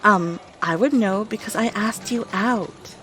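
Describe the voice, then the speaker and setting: A woman's voice: medium pitch, unhurried, a bit bright, faint music behind, natural voice. Woman acting as a playful gamer girl on a date, casual and teasing, kinda blunt, takes her time. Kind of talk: audio roleplay acting.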